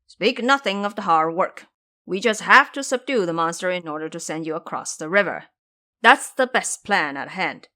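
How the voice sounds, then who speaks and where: Lady playing a grumbly pig guy in an audio drama, blunt and loud, pitch swinging around. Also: animated, moderate pace. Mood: blunt, earnest. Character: grumbling, blunt pig-man warrior monk, male. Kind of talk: audio drama character acting.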